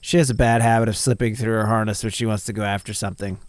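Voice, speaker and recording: A man voicing a breezy, excitable woman who hunts cryptids, reading game dialogue in character. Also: lowish voice, fast talker, dry recording, lightly acted. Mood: fond exasperation. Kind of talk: reading visual novel dialogue aloud in character. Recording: music and chirping night insects behind.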